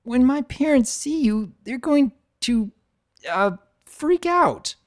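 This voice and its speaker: A timid young unicorn filly in a storybook tale. The voice is thin with shyness and pitched far above normal. The words come haltingly, with stutters. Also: male voice, lively intonation, heavily acted. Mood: nervous. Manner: hesitant, stuttering.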